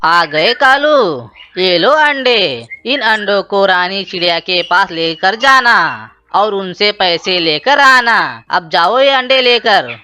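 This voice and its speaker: Woman voicing a stern, sharp cartoon bird boss. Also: mid-range voice, lively intonation, slow pace, no echo. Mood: businesslike. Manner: stern, accusing. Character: stern threatening male boss, suspicious cartoon bird merchant. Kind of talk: children's cartoon story dialogue.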